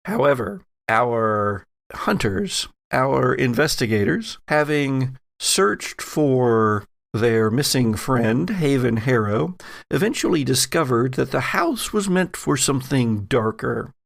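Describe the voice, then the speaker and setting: A man hosting and narrating an actual play audio drama as game master, with theatrical, drawn-out emphasis. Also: mid-range voice, sing-song, vocal fry, dry recording, clean studio sound. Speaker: podcast host and game master.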